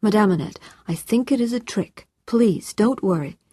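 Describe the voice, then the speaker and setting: Lady reading as calm polite guy in an audiobook, measured and reassuring, husky, very dry sound. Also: mid-range voice, no echo, pitched above the performer's own voice. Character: composed, courteous man, secretive and reassuring.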